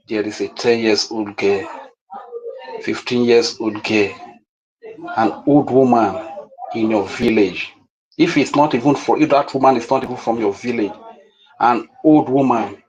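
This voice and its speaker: A man's voice: fairly low pitch, rough recording. Emphatic Nigerian man giving political address. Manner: deliberate, emphatic, repetitive, with rhetorical questions. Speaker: political activist speaker.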